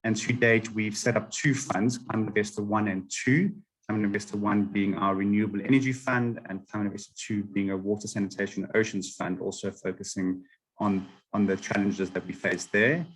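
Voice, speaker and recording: A South African man presenting slides to a webinar audience, steady and explanatory with frequent ums. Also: lowish voice, a little fast, slightly rough, low-quality recording. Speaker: webinar panelist.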